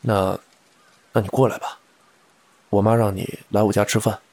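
An actor playing a shy, reserved man in his thirties, a devoted boyfriend, speaking with soft, hesitant restraint in a naturalistic audio drama. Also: lowish voice, flat delivery, normal speed. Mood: gentle. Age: 30s. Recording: music behind the voice.

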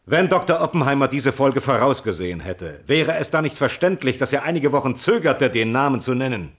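An actor in a radio play voicing a persistent defence lawyer who puts formal, pointed questions. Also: male voice, mid-range voice, lively intonation, fairly quick, lightly acted. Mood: pressing. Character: probing, persistent male defence lawyer cross-examining a witness. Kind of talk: radio play courtroom acting.

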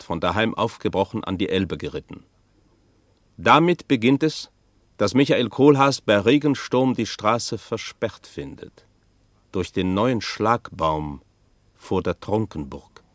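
A solemn chronicler in a radio play. He narrates formally and with measure, in a lowish voice. The sound is dry and close. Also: big pitch swings, unhurried, no room sound. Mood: storytelling.

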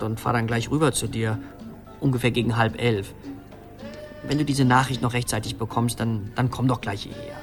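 An actor playing a let-down, hesitant, earnest boyfriend leaving a phone message in a radio play. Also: male voice, mid-range voice, natural voice. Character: let-down, hesitant boyfriend waiting for his love.

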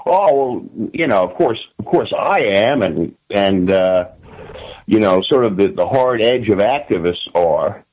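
An American man with a lowish, classic radio announcer sort of voice. He talks as a guest in a political interview, in a halting way, circling back to repeat the start of his phrases. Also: animated, a little mellow, low-quality recording.

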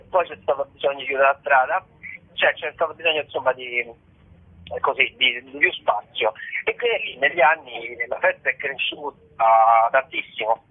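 A man's voice: medium pitch, expressive, moderate pace, husky. A man being interviewed as a festival organiser, explaining things in a casual, hesitant, informal way. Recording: narrow tinny telephone line.

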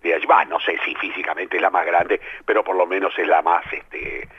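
A man's voice: deep voice, fairly quick, breathy edge. An older man with a Rioplatense accent, a playwright and theatre director answering radio interview questions in a reflective way, with many fillers. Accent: Rioplatense Spanish. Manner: reflective, lively, filler-heavy. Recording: lo-fi radio broadcast.

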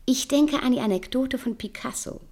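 An actress playing a cool, sardonic, secretly tender Parisian woman in a German radio play, deliberate and intimate in manner. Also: high voice, a little mellow.

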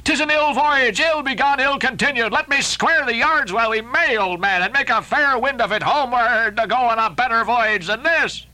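An alarmed ship's first mate, put on by a man reading aloud in an audiobook, pleading urgently in a strained, very high voice. The delivery is bright and forceful throughout. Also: moderate pace, few pauses, exaggerated voice.

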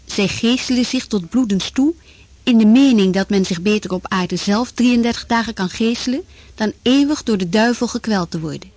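An actress narrating a historical radio drama as a sober chronicler, in a measured, formal manner. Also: female voice, a little high, a little mellow, dry recording, lightly acted. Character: sober female chronicler of plague times. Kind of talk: scripted documentary-style radio drama narration.

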